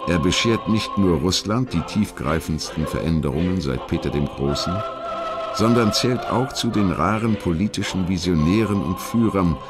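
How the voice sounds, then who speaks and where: A man reading scripted historical narration in a measured, articulate and formal manner. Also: low-pitched, animated, loud music behind the voice. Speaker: documentary narrator.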